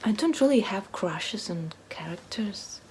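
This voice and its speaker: Slow-talking woman, casual and hesitant. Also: mid-range voice, expressive, a little mellow, slight background noise. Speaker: book vlogger. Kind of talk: casual chat to camera, answering a book tag.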